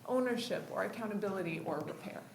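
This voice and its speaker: Woman professor, measured and reflective, mid-pitched voice, roomy echo with faint background hiss. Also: animated, creaky. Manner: thoughtful, measured, with light laughs. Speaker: professor and writer. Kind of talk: reflective remarks in a group conversation.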